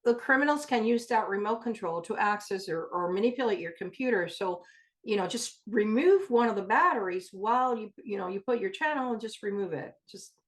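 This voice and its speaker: Mid-pitched woman, direct informal presenter. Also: lively intonation, a little fast. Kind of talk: informal explanatory talk.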